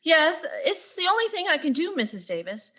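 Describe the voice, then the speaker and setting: A woman's voice: very high-pitched, very animated, normal speed, bright, forceful tone, exaggerated voice. A woman playing a wry, put-upon schoolteacher in a radio sitcom, with a dry, wisecracking delivery. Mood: exasperated. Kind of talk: radio sitcom acting and narration.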